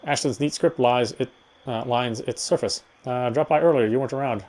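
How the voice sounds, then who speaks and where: A man's voice: mid-range voice, brisk, a little mellow, faint music behind. A man reading first-person visual novel text aloud as narration, stumbling now and then over words.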